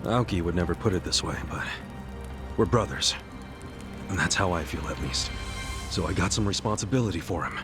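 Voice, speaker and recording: An actor playing a big-hearted, stubbornly optimistic ex-convict hero in a video game, with brash, slangy, earnest delivery. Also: male voice, deep voice, fairly quick, long pauses, music in the background, close to an everyday voice. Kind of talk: dramatic video game voice acting.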